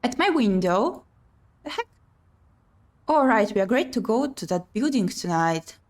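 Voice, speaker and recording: A woman's voice: a little high, very animated, a little slow. A woman playing a visual novel on her channel, reading the text aloud and adding chatty commentary. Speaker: video game streamer.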